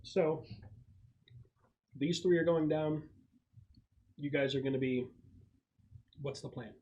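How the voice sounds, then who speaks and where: A man's voice: on the high side, fairly even intonation, slow pace, a little mellow. A man acting as game master, casually explaining plans to players at a tabletop roleplaying game. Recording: muffled, slightly noisy group recording.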